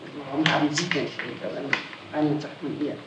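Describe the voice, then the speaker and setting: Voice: mid-range voice, fairly even intonation, very fast. A person being questioned by police, giving an explanatory account with self-corrections, on an old archival tape. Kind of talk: interrogation testimony, recounting events. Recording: roomy, noisy archival tape recording.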